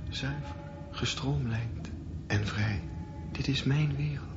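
An actor playing a dreamy, defiant young swimmer thinking aloud in a poetic radio drama monologue. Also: male voice, medium pitch, slow pace, lightly acted. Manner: poetic, musing. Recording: dull lo-fi radio play recording. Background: prominent music.

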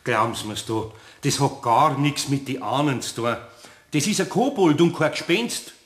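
A man's voice: mid-range voice, expressive, moderate pace, lightly acted. A male actor with a Bavarian accent in a radio play, as an earnest, awkward, folksy older craftsman. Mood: earnest, stubborn. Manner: hesitant, folksy, plain-spoken. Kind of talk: German radio play acting.

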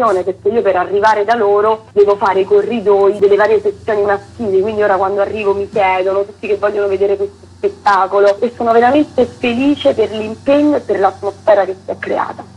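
A woman's voice: medium pitch, a little mellow, slightly rough, low-quality recording. A woman being interviewed on the radio as a theatre director, explaining earnestly in long, fluent sentences.

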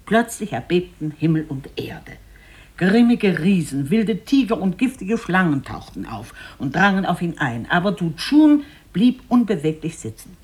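A storyteller narrating a literary fairy tale in a deliberate, dramatic and expressive way. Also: medium pitch, slightly rough.

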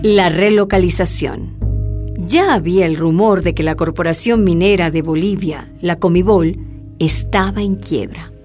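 A woman's voice: on the low side, measured pace, a little mellow. Woman narrating over music. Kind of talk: scripted radio drama narration.

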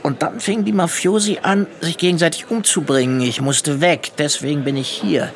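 An actor playing a hunted young money launderer in a radio drama, guarded but bold and matter-of-fact. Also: male voice, a little high, moderate pace, a crowd in the background, natural voice. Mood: uneasy, confiding.

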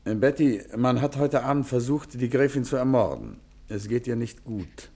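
Gentleman sleuth male actor, assured and probing, highly varied pitch, vintage radio play with faint noise. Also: lively intonation, normal speed, lightly acted. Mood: persuasive, calm. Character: alert, courteous, authoritative male amateur detective. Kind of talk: 1950s German radio play acting. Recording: faintly noisy 1950s radio recording.

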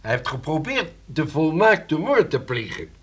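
Husky, authoritative police commissioner. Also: male voice, a little high, sing-song, fairly bright tone, heavily acted. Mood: stern, assured. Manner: measured, authoritative, deliberate. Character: shrewd, deliberate uncle posing as a police commissioner. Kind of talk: Dutch radio play acting.

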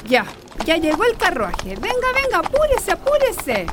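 A woman's voice: very high voice, wildly swooping pitch, normal speed, heavily acted. A woman playing a fussing, affectionate Chilean aunt in a radio drama, doting and full of insistent questions. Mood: concerned, hurrying. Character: fussing, affectionate aunt greeting her grown niece. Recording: loud noise and clicks.

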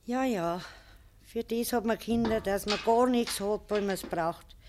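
An actress playing a bitter, scolding older farmer's wife with a Bavarian accent, lamenting and nagging in a 1950s dialect radio play. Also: female voice, medium pitch, unhurried, background noise, lightly acted. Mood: sorrowful, bitter.

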